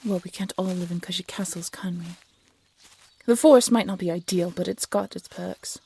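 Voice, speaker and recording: A woman's voice: fairly low pitch, moderate pace, gravelly, dark tone, breathy edge. A woman playing a guarded, defiant peasant huntress in a fantasy audio drama, casual and sardonic. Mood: wry, guarded. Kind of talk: fantasy audio roleplay acting.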